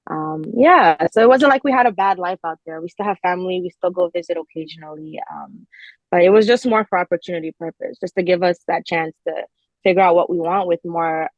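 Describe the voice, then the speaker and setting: Casting: woman, 20s, podcast guest, slightly low voice, casual and conversational, close dry sound that is a touch dull. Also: a little fast, dry recording. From Nigeria, grew up mostly in Canada. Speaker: podcast guest, fashion designer. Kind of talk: casual interview chat.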